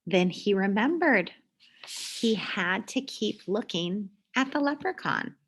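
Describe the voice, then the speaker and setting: Slow, breathy woman reading a children's story. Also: medium pitch, expressive. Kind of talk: expressive read-aloud for children.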